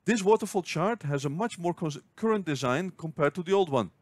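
A man narrating a scripted spreadsheet tutorial, explaining step by step in a clear, measured way. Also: fairly high pitch, lively intonation, no room sound. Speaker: finance tutorial host. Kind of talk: scripted screen-recording tutorial.

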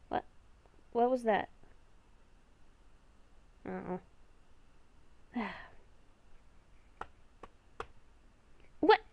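A woman playing a nervous young female werewolf in an improvised Minecraft roleplay, halting and stammering as she talks. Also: a little high, gravelly, no room sound, muffled recording, heavily acted. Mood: uneasy, puzzled. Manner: halting, stammering, repeats herself. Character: nervous young woman werewolf, hearing ghostly voices at night.

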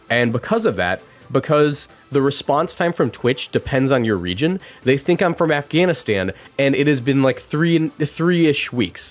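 Casting: male game streamer, twenties, mid-pitched voice, casual and chatty, faint music underneath. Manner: chatty, thinks out loud. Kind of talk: casual live game commentary.

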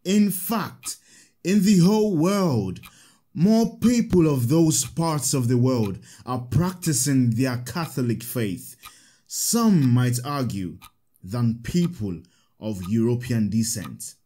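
A kind scholarly priest in an audio drama, slow patient teacher, really expressive pitch. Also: male voice. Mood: patient teaching. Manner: patient, explanatory, measured.

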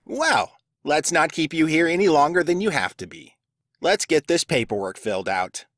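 A man's voice: on the high side, sing-song, normal speed, fairly bright tone, no room sound, clean studio sound. An actor playing a gleefully spiteful, smug male school official in an audio drama, with theatrical delivery.

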